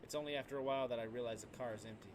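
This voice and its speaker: A man's voice: mid-range voice, rapid-fire, noisy background. A man reading a visual novel's text aloud for a let's play, dramatically and sometimes stumbling over the words. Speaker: video game streamer.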